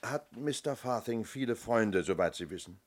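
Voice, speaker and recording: An actor playing a courteous but persistent police inspector in a German radio play, questioning in a measured, official manner. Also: male voice, lowish voice, expressive. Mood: courteous, probing.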